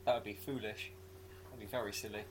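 A man's voice: fairly low pitch, fast talker. A man co-hosting a casual podcast over a call, bantering and laughing often. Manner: giggly, lively banter. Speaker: podcast co-host.

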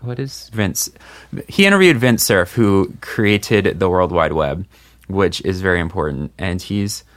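Casting: deep-voiced male podcast host, relaxed rambling chat. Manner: loose and rambling, many fillers. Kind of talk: casual chat.

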